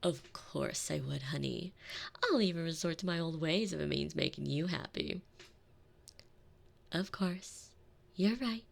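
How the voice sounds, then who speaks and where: Woman playing a low, creaky, sardonic retired villainess housewife. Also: unhurried, long pauses, heavily acted. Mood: fond, teasing. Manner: wry, teasing, theatrical phrasing. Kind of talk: scripted ASMR roleplay audio drama. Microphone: close binaural microphone.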